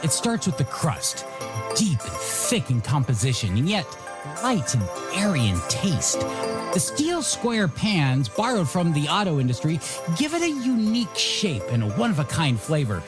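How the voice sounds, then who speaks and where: A radio DJ host with a playful, showy delivery, presenting in energetic music-radio patter. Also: medium pitch, moderate pace. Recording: music bed behind voice.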